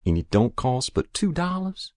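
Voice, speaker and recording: Man doing a stern scolding Southern mother, raised pitch. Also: sing-song, moderate pace, darkish tone, no room sound, studio quality, close to an everyday voice. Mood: softer, worried. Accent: rural Southern US. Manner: scolding, dismissive, blunt. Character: stern, scolding rural mother, adult woman, exasperated but loving.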